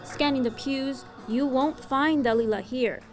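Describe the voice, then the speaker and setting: A woman's voice: high voice, unhurried, faint music behind. A woman television reporter reading scripted news narration.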